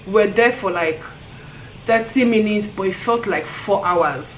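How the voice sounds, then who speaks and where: A woman with a Nigerian accent telling a story on her vlog in an animated way, often breaking into laughter. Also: medium pitch, unhurried, slight background noise. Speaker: vlogger. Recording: roomy echo, faint ambience.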